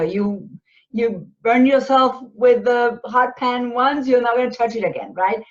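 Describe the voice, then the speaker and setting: Argentine-born woman, dull lo-fi sound. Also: on the high side. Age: 40s. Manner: explanatory, frequent rhetorical tags like "right?". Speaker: panelist, business coach. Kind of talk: conversational interview answers.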